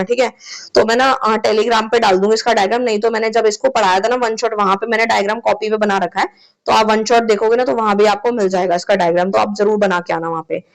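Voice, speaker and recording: A woman's voice: fairly high pitch, rough recording. A woman teaching an exam-prep lecture in a chatty way, often checking in with her students, her voice a little sore. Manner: chatty, repeats "theek hai" often. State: sore throat.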